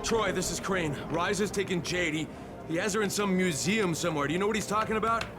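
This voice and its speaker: A man playing a gruff, defiant and determined undercover operative in a video game. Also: high-pitched, quick pace, natural voice. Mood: urgent. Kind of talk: video game cutscene acting.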